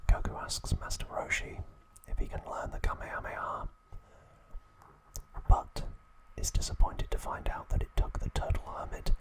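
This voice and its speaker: A man narrating scripted trivia as an ASMR host in a soft, breathy, unhurried whisper. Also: rough recording.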